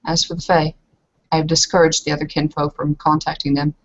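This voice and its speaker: A woman playing a guarded, resigned young married woman in a roleplaying game, speaking in a formal, measured, stiffly controlled way. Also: deep voice, flat delivery, no echo, dull audio. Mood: formal, resolute.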